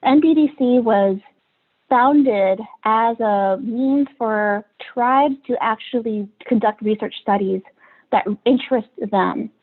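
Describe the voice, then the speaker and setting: A woman's voice: a little high, slow. A woman giving an academic presentation as a researcher on a video call. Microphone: video call microphone. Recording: tinny, phone-like video call audio.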